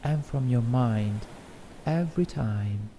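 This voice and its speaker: A man guiding a meditation in a gentle, soothing, instructional way, reading as scripted narration. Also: medium pitch, animated, slow. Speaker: meditation guide. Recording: faint background noise, ambient music. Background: soft ambient music.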